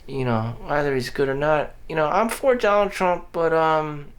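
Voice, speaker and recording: A man speaking in a somewhat high register delivers a meandering, unscripted monologue for his vlog. He talks bluntly, swears offhandedly and circles back on himself with constant fillers and false starts. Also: moderate pace. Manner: meandering, frequent "you know" fillers and restarts. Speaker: vlogger. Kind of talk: rambling personal monologue. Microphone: audio-only recording.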